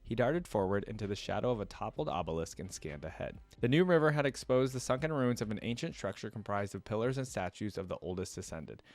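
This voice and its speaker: A man's voice: lowish voice, expressive, fast, hardly any pauses, no echo. A man hosting a reaction video, reading lore aloud and musing over it in casual commentary. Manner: musing, thinking out loud.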